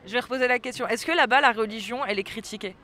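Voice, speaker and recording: A woman's voice: fairly high pitch, racing, sharp tone. A woman conducting a casual vox pop interview as the street interviewer. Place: outdoors on a city street. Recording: outdoor street with light traffic noise.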